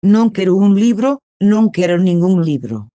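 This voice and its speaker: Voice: medium pitch, very animated, normal speed, mellow, no echo, clean studio sound. A speaker reading short phrase prompts for a language lesson, in brief clipped lines. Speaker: prompt reader.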